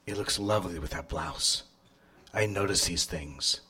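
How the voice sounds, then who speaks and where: An actor playing a controlling, smooth-talking boss who courts his employee in a deliberate, coaxing way, in a live radio drama. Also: low voice, pushed and bright. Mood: tender, possessive.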